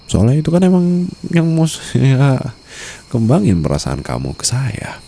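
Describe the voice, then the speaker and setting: A man with an Indonesian accent acting a smitten, protective mafia boss in an intimate ASMR boyfriend roleplay, murmuring softly and teasingly. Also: medium pitch, huge pitch swings, measured pace, creaky, heavily acted. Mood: tender, teasing. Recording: faint night-time insect ambience noise.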